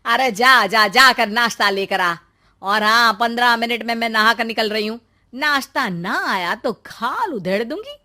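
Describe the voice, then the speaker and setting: A woman voicing a bossy mother-in-law who scolds and taunts her grown son theatrically in an animated story. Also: fairly high pitch. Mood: angry bossy. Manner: theatrical, sarcastic, drawn-out scolding. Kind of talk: animated Hindi moral story acting.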